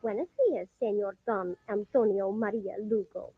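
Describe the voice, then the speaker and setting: A woman's voice: medium pitch, steady tone, moderate pace, breathy edge, no room sound, lightly acted. An actress playing a proud, coy young lady, prim and coquettish, in an old-time radio drama. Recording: thin, phone-like old radio recording.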